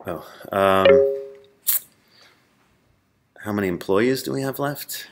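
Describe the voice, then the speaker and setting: Actor as irritable low-voiced startup boss. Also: male voice, takes it slowly, heavy background noise. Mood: sheepish. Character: pompous, irritable male startup boss, thirties. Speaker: actor as startup chief executive. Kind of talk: scripted mockumentary comedy, livestream address. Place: open-plan office, indoors.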